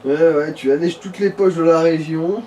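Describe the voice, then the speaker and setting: A man's voice: on the high side, fairly even intonation, normal speed, warm tone. A man chatting casually as a companion during a video game session. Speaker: let's play companion. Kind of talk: casual gaming chat. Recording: distant mic with background noise.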